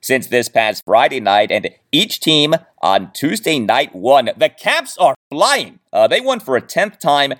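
A man's voice: medium pitch, very animated, measured pace, hardly any pauses, fairly bright tone. A man hosting a solo sports podcast, talking through his rundown in an emphatic, showman-like way with dramatic stresses. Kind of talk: scripted solo sports podcast monologue with asides.

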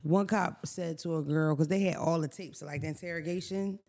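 A woman with a raspy voice co-hosting a podcast, chatting casually in a blunt, profane, storytelling way. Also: low-pitched, steady tone, fairly quick, dark tone. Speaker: podcast co-host. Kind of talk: casual chat.

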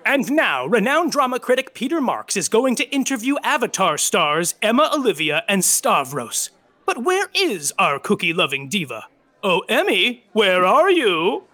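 Very high, bright, arch announcer. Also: male voice, sing-song, moderate pace. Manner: arch, playful.

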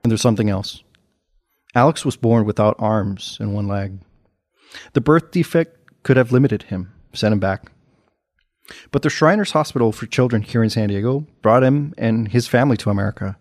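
A man hosting a podcast, reading scripted narration in a measured, earnest way. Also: on the low side, a little mellow, dry recording, studio quality. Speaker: podcast host.

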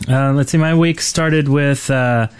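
A man's voice: mid-range voice, steady tone, unhurried, clear, resonant tone, no room sound. A man co-hosting a casual gaming podcast, recalling things in a hesitant, rambling way. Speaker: podcast co-host.

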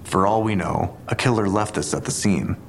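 Male detective, very low voice, deliberate and analytical, audio drama, faint rumble behind. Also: creaky. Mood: measured, speculative.

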